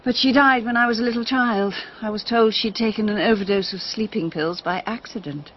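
An aloof, restless British gentlewoman played by a woman in a radio drama. She speaks in a measured, dramatic way with guarded poise. The sound is dulled, with faint noise behind. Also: mid-range voice, close to an everyday voice. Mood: wistful. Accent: British English. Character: aloof, restless, unhappily married English-Russian gentlewoman. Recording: muffled radio recording with noise, birdsong.

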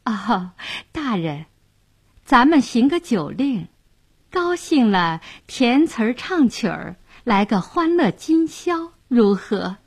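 A woman storyteller voicing a young fishwife who is sweet, bashful and flattering, with cunning deference. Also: on the high side, slow pace, breathy edge, pitched above the performer's own voice, full character voice. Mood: coaxing.

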